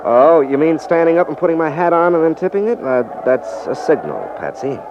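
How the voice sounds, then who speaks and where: Smooth sly con man in old 50s radio show, voice bounces around, muffled recording. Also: fairly high pitch, big pitch swings, brisk, background noise. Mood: playful, coy. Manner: coy, unruffled, feigns innocence. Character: sly, cool, confident male trickster. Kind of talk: 1950s radio drama acting.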